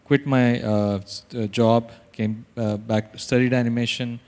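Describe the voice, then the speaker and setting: A man's voice: mid-range voice, little pitch movement, a little slow, a little mellow. Male founder from India, hesitant panel talk, echoing hall. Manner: hesitant, many fillers and restarts. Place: conference hall. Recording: reverberant hall.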